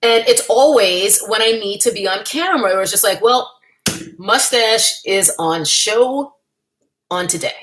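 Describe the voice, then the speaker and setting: A woman's voice: medium pitch, lively intonation, unhurried, fairly bright tone, poor audio. A young woman talks to her viewers on a livestream from home, in an easy and candid way. She reads out the chat and answers it, and she laughs often between her thoughts. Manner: chatty and candid, with frequent laughs and playful asides. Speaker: livestream host. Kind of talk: casual livestream chat, reading the chat.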